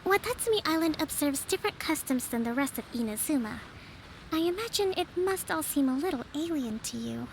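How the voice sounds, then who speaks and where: A calm young priestess leader. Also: female voice, sits high, brisk, a little hiss or hum, rough recording. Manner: composed, formal, gently authoritative. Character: young female divine priestess, calm and measured. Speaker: island leader. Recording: game audio with soft music behind.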